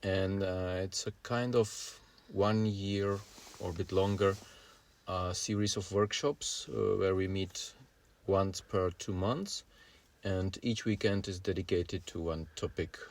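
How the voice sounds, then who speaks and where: A male podcast co-host in his forties with a Czech accent and Czech as his first language. His voice is low and his pace very slow, with hesitant pauses as he puts his thoughts together in casual conversation. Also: steady tone. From Czech Republic. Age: 40s.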